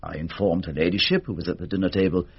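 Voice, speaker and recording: A very husky, deferential English butler. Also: male voice, sits low, steady tone, quick pace, heavily acted. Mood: deferential, careful. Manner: formal, respectful, hesitant. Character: deferential, careful, formal English male butler. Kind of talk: radio drama acting. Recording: dull lo-fi radio recording.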